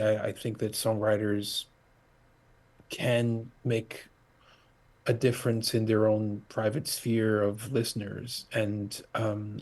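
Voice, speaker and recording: A middle-aged man from Portugal, a musician guest talking in a halting, casual way full of fillers and restarts over a video call. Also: lowish voice, a little mellow, husky. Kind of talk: casual interview chat.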